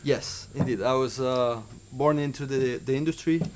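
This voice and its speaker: A young man with an Argentine accent, a winemaker answering interview questions in a measured way, with fillers and restarts. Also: mid-range voice, steady tone, darkish tone, a little hiss or hum. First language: Spanish. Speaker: winemaker guest.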